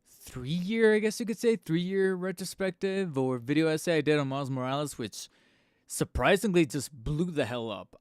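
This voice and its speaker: Man, slightly hoarse, tired, rambling live-stream chat, close studio mic, dry sound. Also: mid-range voice, no echo. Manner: rambling, self-mocking, with fillers and restarts. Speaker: channel host streaming live. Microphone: studio microphone close up.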